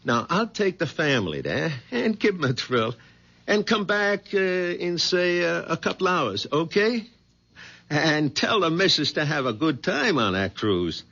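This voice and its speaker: Raspy, bright, brash working-class fellow. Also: male voice, a little high, sing-song, measured pace, heavily acted. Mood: brash, insistent. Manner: colloquial, blunt, folksy double negatives. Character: brash, pushy working-class tenant, family man. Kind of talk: 1970s radio drama acting. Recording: dull vintage radio recording.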